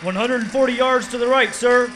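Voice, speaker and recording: Man acting an anxious, high-voiced artillery spotter. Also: fairly quick, a bit bright, a little hiss or hum. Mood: alert. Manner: raised, urgent military reporting. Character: dutiful male artillery spotter reporting anxiously to his officer. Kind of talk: radio play battle acting.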